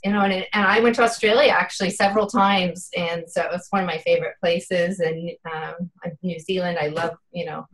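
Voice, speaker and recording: A woman's voice: fairly low pitch, steady tone, fairly quick, hardly any pauses, fairly bright tone, low-quality recording. A woman in her fifties, a guest in an interview, speaking earnestly and from the heart with many "you know" fillers. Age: 50s. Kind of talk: casual interview chat.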